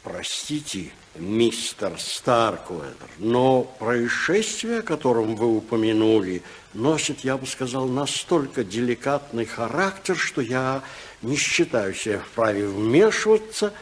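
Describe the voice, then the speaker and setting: Lively-pitched, timid clergyman. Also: unhurried. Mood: nervous, apologetic. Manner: hesitant, fussy, apologetic. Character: timid, evasive, mealy-mouthed male clergyman. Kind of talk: 1950s radio drama acting. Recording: hissy old radio recording.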